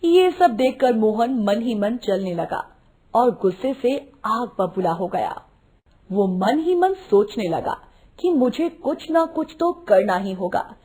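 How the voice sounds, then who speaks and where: A woman with a husky voice narrating a scripted story in an expressive storytelling style. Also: fairly high pitch.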